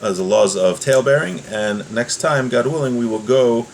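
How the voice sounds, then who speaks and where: A man's voice: mid-range voice, normal speed, gravelly, a little mellow, low-quality recording. A man with a mid-range voice teaches a religious legal text. He explains it informally, often hesitating as he goes. The recording has noticeable background noise. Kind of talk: text lesson, reading aloud then translating and explaining.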